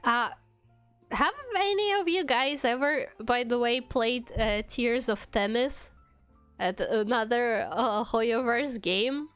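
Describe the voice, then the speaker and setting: Clear, higher-voiced woman streamer chatting casually. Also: slow, a bit bright, dull audio, faint music behind. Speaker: game streamer, VTuber. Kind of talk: casual livestream chat over a game.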